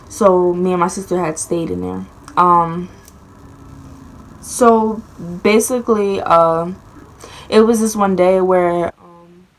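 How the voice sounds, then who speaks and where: A young woman vlogger telling a childhood story in casual chat, rambling with many fillers and restarts, her voice often dropping very quiet. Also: lowish voice, a little hiss or hum, rough recording.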